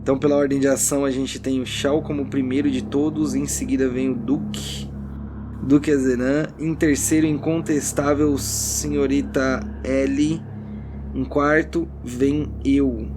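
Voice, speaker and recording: A man's voice: mid-range voice, little pitch movement, unhurried, background noise. A Brazilian man running a tabletop role-playing game as narrator, mixing theatrical, suspenseful storytelling with casual banter. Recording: loud background music under the voice.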